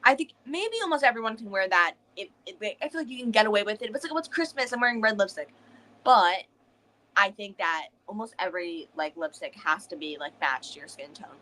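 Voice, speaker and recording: A woman's voice: medium pitch, lively intonation, fairly quick, bright, forceful tone, poor audio. A woman co-hosting a book club livestream with a Northern American accent with a slight Southern touch, agreeable and rambling. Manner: agreeable, frequent "yeah", rambling. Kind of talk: casual livestream chat.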